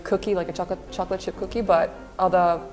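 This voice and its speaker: A woman's voice: on the low side, steady tone, quick pace, darkish tone, soft background music. A young Australian woman in a casual panel chat, friendly and agreeable. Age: young adult.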